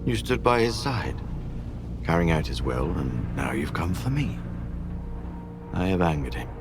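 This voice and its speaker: Slow, deep-voiced cult prophet. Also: male voice, sing-song. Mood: ominous. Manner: slow, brooding, philosophical. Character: mysterious solemn male stalker cult prophet. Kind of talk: video game cutscene acting. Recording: loud eerie music behind.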